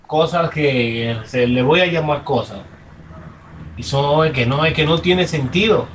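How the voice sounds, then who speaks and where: Dominican man, higher-than-average voice, emphatic off-the-cuff faith commentary, slightly distant microphone. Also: fairly bright tone, slight background noise. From Dominican Republic. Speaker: congregant giving comments. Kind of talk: impromptu religious testimony.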